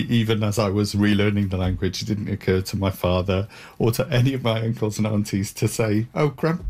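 Husky deep-voiced man recounting memories. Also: a little mellow. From Wales. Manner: thoughtful, storytelling with hesitations. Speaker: guest. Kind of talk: reflective interview answers.

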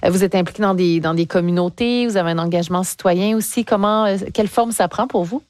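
A woman's voice: lowish voice, fast, no room sound. A woman interviewing young guests, asking encouraging questions with frequent hesitations. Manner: warm encouraging questions with many fillers. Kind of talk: radio interview.

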